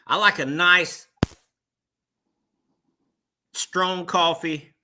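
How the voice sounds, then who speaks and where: Male co-host, unhurried and emphatic, sharp bright timbre. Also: sits high, steady tone. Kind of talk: casual morning show chat.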